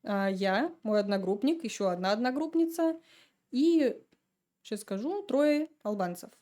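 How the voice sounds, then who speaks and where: Woman chatting on a podcast, mellow voice, laid back and laughing. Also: fairly high pitch, unhurried. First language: Russian. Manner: relaxed, chatty storytelling with laughs. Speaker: podcast guest. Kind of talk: casual chat.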